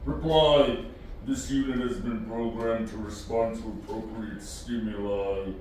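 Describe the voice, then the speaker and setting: A man's voice: fairly low pitch, steady tone, measured pace, a little mellow, natural voice. Man acting as echoey, cold, programmed alien creature. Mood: cold, detached. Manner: stilted, announces each reply type before speaking. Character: programmed alien construct, cold, formal, literal-minded monster. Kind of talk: science fiction audio drama acting. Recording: echoey room, distant mic, faint noise.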